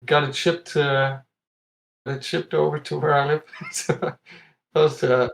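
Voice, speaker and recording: A man's voice: a little high, flat delivery, unhurried, gravelly. A man from Iceland chatting casually as a podcast guest, telling stories in a conversational way. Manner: chuckles often while talking. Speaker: podcast guest, competitive retro game player.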